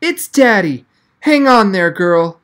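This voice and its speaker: A reader voicing an excited army tank commander, an adult man choked with emotion and calling out, in an audiobook story. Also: medium pitch, takes it slowly, voice pushed up. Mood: choked up, tender.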